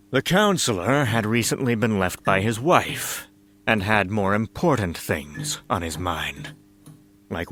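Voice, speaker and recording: A man with a fairly low voice reads a comic story as its narrator. His delivery is wry, deliberate and dramatic, with faint music underneath. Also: a bit bright.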